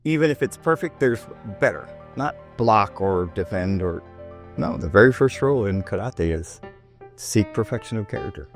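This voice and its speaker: A man in his fifties giving relaxed interview answers, rambling a little and often restarting his sentences. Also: fairly low pitch, moderate pace, faint music behind. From United States, raised in Japan. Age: 50s. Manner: rambling with frequent restarts and self-interrupting asides. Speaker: martial arts teacher and guest.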